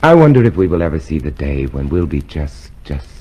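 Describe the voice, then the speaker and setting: An actor playing a weary, fearful rebel in a dystopia, brooding and intense, in a radio drama. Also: male voice, rumbling low, sing-song, a little fast, dark tone, husky, heavily acted, poor audio. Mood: wistful.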